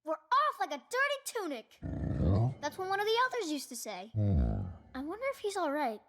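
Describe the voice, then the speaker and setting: Small boy hero, video game character, very high pitch, animated, playful, big-hearted, childlike. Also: normal speed, full character voice. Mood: playful, excited. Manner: exuberant, childlike, earnest.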